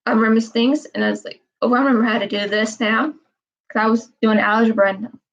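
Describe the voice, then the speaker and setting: Young woman in her twenties, higher voice, rambling live-stream chat, muffled audio. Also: fairly even intonation, brisk. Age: 20s. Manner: meandering, repeats herself, frequent "um".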